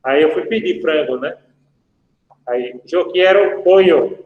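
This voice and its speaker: Chatty professor in an echoey room. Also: male voice, a little high, takes it slowly, narrow, tinny audio. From Brazil. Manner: chatty, anecdotal, jokey asides to students. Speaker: law professor. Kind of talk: casual online lecture. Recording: echoey room with long reverb.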